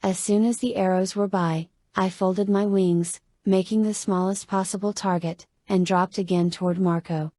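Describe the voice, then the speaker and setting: Mellow woman narrating an adventure audiobook, dry sound. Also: medium pitch, fairly even intonation, moderate pace, few pauses, no room sound. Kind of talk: first-person dramatic audiobook narration of a young adult novel.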